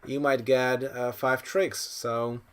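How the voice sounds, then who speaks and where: Slow, unhurried male bridge commentator. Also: medium pitch, flat delivery, fairly bright tone, clear, resonant tone. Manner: thinks aloud, analytical, unhurried. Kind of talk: live card game commentary.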